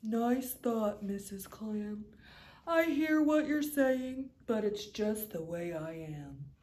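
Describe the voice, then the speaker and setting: A woman's voice: mid-range voice, lively intonation, slow pace, a little mellow. A woman voicing a gloomy male fish with a droning, mopey voice in a storybook reading. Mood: glum. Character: glum male pout fish, gloomy and sulky.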